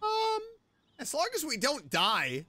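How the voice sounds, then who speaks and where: A woman streaming a video game with expressive, jokey, casual commentary over faint game music. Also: sits high, slow, noisy background. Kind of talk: casual game commentary with playful line reading.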